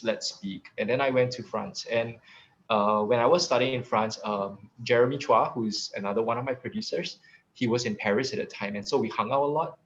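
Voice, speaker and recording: Soft-spoken man on dull call audio. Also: medium pitch. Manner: earnest, hesitant, many uhs. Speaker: film director, panelist. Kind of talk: casual panel talk.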